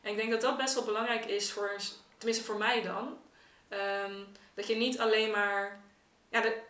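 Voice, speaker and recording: A woman's voice: medium pitch, steady tone, normal speed, fairly bright tone, distant mic. A woman chatting casually as a guest in a group conversation. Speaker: artist, podcast guest. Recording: echoey room, off-mic, faint noise.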